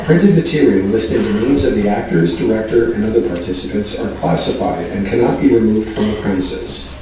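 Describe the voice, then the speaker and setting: A man making a deadpan mock-official theatre announcement. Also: fairly low pitch, brisk, background noise. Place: theatre auditorium. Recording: very echoey, distant theatre sound.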